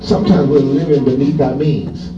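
A man's voice: mid-range voice, fairly even intonation, moderate pace. A man preaching a sermon with fervor, repeating his phrases and breaking into praise. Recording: distant, roomy, dull, guitar music behind.

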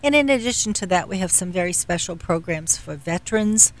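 A middle-aged woman with a fairly low-pitched voice speaks as a radio interview guest. She explains in a measured manner, often hesitating as she looks for the next word. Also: animated, a little hiss or hum. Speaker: guest, philanthropy executive.

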